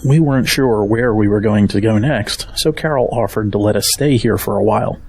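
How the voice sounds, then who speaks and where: A man's voice: on the low side, brisk, darkish tone, slightly rough. An actor playing a weary, practical family man with a wary, down-to-earth manner in an audio drama.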